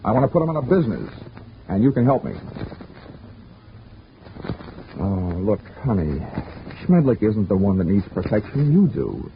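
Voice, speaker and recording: A man's voice: on the low side, big pitch swings, a little fast, a little hiss or hum. An actor playing a hard-boiled male insurance investigator who narrates his own case, with a dry, world-weary, wisecracking manner in a 1940s radio drama. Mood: pushy. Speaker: narrator and lead. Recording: muffled old radio recording with music.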